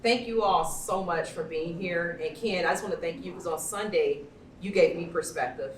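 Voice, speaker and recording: Woman, panel moderator, effusive and chatty, lowish voice, roomy echo, faint noise. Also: moderate pace. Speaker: panel moderator and event host. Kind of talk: informal spoken panel introduction. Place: indoor event venue, amplified panel talk. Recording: echoey room, distant mic, faint noise.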